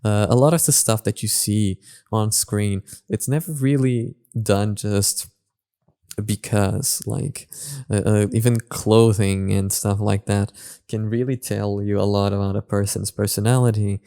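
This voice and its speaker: A man hosts a reaction video in a low, gravelly voice with a mellow tone. He talks casually and analytically, often halting to search for the right words. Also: steady tone.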